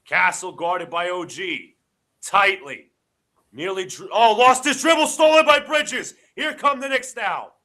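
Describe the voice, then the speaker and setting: A man calls a basketball game play by play for his live stream audience, his voice a little stuffy from allergies. The tone is bright and sharp, and he keeps up a quick, excitable running commentary that jumps to shouting when his team scores. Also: sits high. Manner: excitable, shouts on big plays. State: congested from allergies. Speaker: livestream host doing play-by-play.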